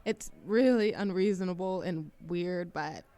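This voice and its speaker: A woman's voice: on the low side, unhurried, warm tone, no echo. Woman acting as a shy, rambling, bookish young woman. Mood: flustered, hopeful. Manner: nervous giggles, hesitant, self-deprecating rambling. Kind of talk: roleplay ASMR audio drama, conversational monologue.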